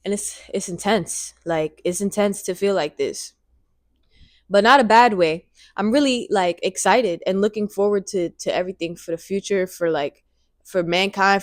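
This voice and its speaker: Rambling, excited woman in her twenties. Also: mid-range voice, dry recording, studio quality. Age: 20s. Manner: excited, rambling, repeats phrases. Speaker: vlogger. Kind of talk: casual vlog monologue. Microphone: handheld microphone.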